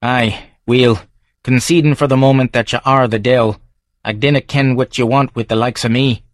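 A man's voice: medium pitch, steady tone, moderate pace, no room sound, studio quality, lightly acted. Surly Scottish pub drinker voiced by a male narrator; blunt, heavy dialect. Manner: blunt, defensive, broad dialect.

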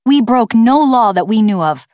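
A woman voicing an earnest, quick-witted, defiant girl in an audiobook, speaking in an assertive, argumentative way. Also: fairly high pitch, expressive, darkish tone, dry recording, clean studio sound, pitched above the performer's own voice, close to an everyday voice. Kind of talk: audiobook character voice.

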